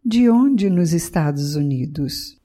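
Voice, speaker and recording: A woman from Brazil leads a beginner language lesson, saying each phrase in two languages with slow, careful enunciation. Her voice sits low and mellow, and her teacherly delivery stays clear and even. Nothing in her reading is rushed. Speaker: language teacher and podcast host. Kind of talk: scripted bilingual language lesson.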